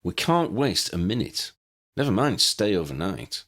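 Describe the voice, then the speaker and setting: A man voicing a determined male wizard who leads his team, firm and brisk, in an audiobook. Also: deep voice, big pitch swings, fairly bright tone, heavily acted. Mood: urgent.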